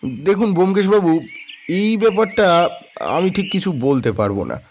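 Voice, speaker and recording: Man acting a courteous, higher-voiced estate manager. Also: sing-song, normal speed, darkish tone, slight background noise. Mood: guarded. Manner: polite, hesitant, explanatory. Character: courteous tea-estate manager, guarded but helpful older employee. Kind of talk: audio drama dialogue.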